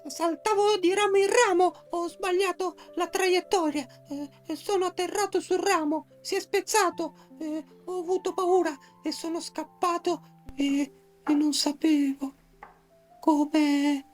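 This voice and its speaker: A woman's voice: fairly even intonation, faint music behind. A woman voicing a nervous, jittery young male squirrel who stammers and stumbles over his words in a storybook. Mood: panicky. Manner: stammering, flustered, quick and high. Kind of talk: storybook character voice.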